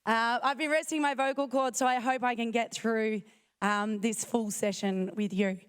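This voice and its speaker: A woman speaks at a conference with a croaky voice she is fighting to keep going. Her pitch sits fairly high and the tone stays smooth beneath the rasp. She talks in a chatty way, full of quick self-joking asides. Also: fairly even intonation. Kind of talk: casual conference talk. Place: conference hall.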